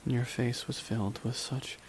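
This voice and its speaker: A man playing a brooding, remorseless villain in a dramatic ASMR roleplay, speaking in a hushed, deliberate way with long pauses. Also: mid-range voice, close to an everyday voice. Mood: wistful, grave. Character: brooding, remorseless male villain at his dying nemesis's side. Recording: steady rain noise behind the voice. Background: rain falling on a surface.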